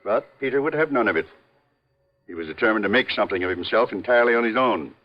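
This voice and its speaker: A man's voice: fairly low pitch, animated, lightly acted. Man playing an earnest, formal American family lawyer. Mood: earnest, regretful. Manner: measured, formal, emphatic. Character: earnest family lawyer, loyal and sure of his client. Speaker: actor. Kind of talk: 1950s American radio drama acting. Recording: muffled old radio broadcast recording.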